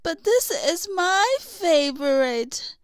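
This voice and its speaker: A woman's voice: very high voice, big pitch swings, slow, no room sound, studio quality, pitched above the performer's own voice, full character voice. A woman voicing a fond, wistful, motherly female troll in a children's storybook reading. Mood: sad, wistful.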